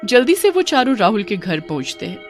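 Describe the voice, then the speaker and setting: Mid-pitched storyteller over music. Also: big pitch swings, fairly quick. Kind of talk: scripted narration of a cartoon horror story. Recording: music behind the narration.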